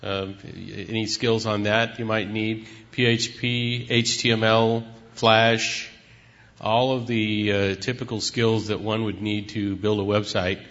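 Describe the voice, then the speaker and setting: A man on a panel giving an unscripted technical explanation in a hesitant, halting way. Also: fairly low pitch, level tone, a bit bright. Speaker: website tech team member on a panel. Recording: muffled recording in reverberant hall.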